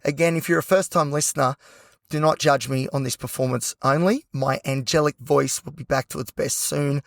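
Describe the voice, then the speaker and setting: An Australian man hosting a solo podcast with a hoarse, croaky voice, talking passionately and rambling with lots of fillers. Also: fairly high pitch, fairly even intonation, moderate pace, no echo. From Newcastle, New South Wales, Australia. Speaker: podcast host.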